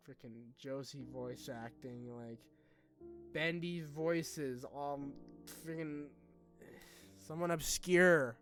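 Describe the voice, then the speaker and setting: A young man talking casually and unscripted like a YouTuber to followers, candid, self-deprecating and halting, with informal phrasing. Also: medium pitch, a bit bright. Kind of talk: casual unscripted vlog talk.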